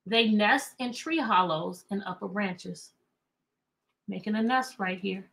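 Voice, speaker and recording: A middle-aged American woman reading aloud to children as a teacher, animated and chatty, often asking questions. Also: medium pitch, unhurried, creaky. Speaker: teacher and read-aloud host. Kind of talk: interactive children's read-aloud lesson. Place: indoor room with bookshelves.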